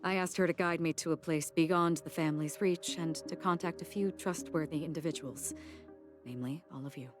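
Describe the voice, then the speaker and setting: Brief: a woman as a poised, polite fugitive, low-pitched, score audible underneath. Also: lightly acted. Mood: calm. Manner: polite, composed, measured. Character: calm, courteous woman on the run. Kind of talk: video game cutscene dialogue. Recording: game music behind the voice.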